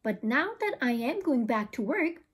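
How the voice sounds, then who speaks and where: A woman's voice: sits high, very animated, moderate pace, husky, full character voice. A woman reading the part of a practical, firm mother in a storybook, explaining herself. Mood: matter-of-fact.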